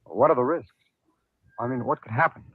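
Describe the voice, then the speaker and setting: An actor playing a worried, hesitant husband questioning anxiously in a 1950s radio drama. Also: male voice, medium pitch, brisk, slightly rough, no room sound, close to an everyday voice. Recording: old 1950s radio broadcast, muffled narrow band.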